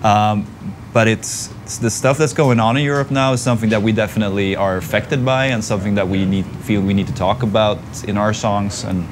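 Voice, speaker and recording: A man from northern Sweden with a Swedish accent, a band member calmly explaining in an interview. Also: fairly low pitch, a little fast, noisy background. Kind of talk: casual interview answers.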